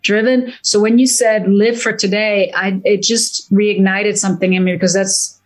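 A woman in her fifties, originally from Italy, speaks as a guest on an interview show. She talks with bright enthusiasm, rushing ahead and doubling back on her words. Her pitch sits in a comfortable middle range. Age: 50s. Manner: enthusiastic, quick, repeats words when excited. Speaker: guest wealth advisor. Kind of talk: casual interview chat.

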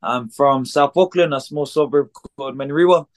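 Kiwi guy in his 20s, chill and rambling, lots of ums, chatting from a studio. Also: medium pitch. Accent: New Zealand. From New Zealand. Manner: relaxed, halting, many ums and restarts. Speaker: guest, gym co-founder. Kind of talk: casual interview chat. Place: music studio.

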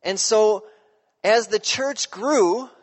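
A pastor preaching a sermon in a relaxed, conversational way, often checking in with his listeners. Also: high voice, drawn-out words, in a large hall.

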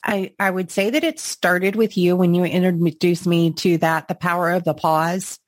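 A woman's voice: fairly low pitch, steady tone, moderate pace, dry recording, studio quality. A woman co-hosting a podcast, sounding slightly congested, talking in an appreciative, encouraging, conversational way. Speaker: podcast co-host.

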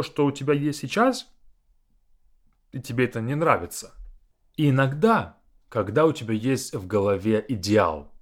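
A man speaking in a reflective, conversational way, as a teacher sharing his views. His mid-pitched voice moves a lot, climbing and dipping with emphasis, and he takes his time. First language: Russian. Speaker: Russian teacher and podcast host. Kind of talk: casual reflective monologue.

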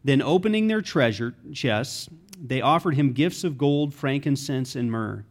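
A man's voice: mid-range voice, expressive, a little slow. A man reading a Bible narrative aloud from the text as a volunteer reader.

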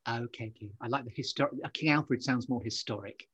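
A man's voice: medium pitch, fairly quick, fairly bright tone, breathy edge. A male podcast host interviewing in a polite, attentive, conversational way. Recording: dull lo-fi microphone sound.

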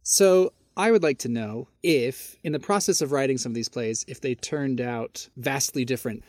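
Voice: medium pitch, moderate pace, a little mellow, dry recording. An interview host with a mid-range voice asks long, open questions in a curious, conversational way. The sound is dry and close to the mic.

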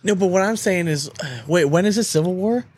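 A man's voice: sits high, fairly quick, darkish tone, slightly rough. A man hosting a podcast in casual chat, slangy and prone to swearing. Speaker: podcast host.

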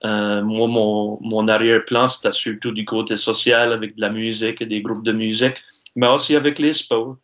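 Acadian french guy in his 40s, pretty flat delivery, lots of pauses, muffled sound. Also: fairly low pitch. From Baie-Sainte-Marie, Nova Scotia. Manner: earnest, many filler pauses. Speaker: guest, university sports director. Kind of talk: interview answers. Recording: dull lo-fi sound.

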